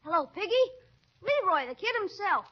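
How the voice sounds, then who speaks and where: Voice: extremely high pitch, very animated, moderate pace, soft background music. A performer playing a cheeky, slangy young schoolboy nephew in a 1940s radio comedy. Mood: gleeful, cocky. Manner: slangy, offhand, cocky. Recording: muffled vintage radio recording.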